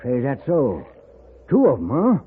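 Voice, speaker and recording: 투덜대는 늙은 서부극 무법자, 잡음 낀 옛 라디오. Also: male voice, mid-range voice, huge pitch swings, moderate pace. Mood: crotchety, defiant. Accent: American. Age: older. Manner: folksy, grumbling, stammering. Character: cantankerous wounded old Western outlaw. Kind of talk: 1950s radio Western acting. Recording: noisy, phone-like 1950s radio recording.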